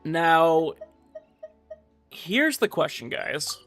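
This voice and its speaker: A man's voice: fairly high pitch, sing-song, drawn-out words, a bit bright, faint music behind. A man livestreaming a game, chatting casually and giving commentary in a slangy, informal way full of filler words. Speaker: livestreamer playing a gacha game.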